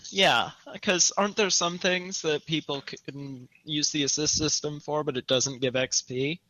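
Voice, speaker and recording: A man's voice: a little high, fairly even intonation, unhurried, pushed and bright, muffled recording. A man co-hosting a casual podcast discussion in a tentative, questioning way. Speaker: podcast co-host.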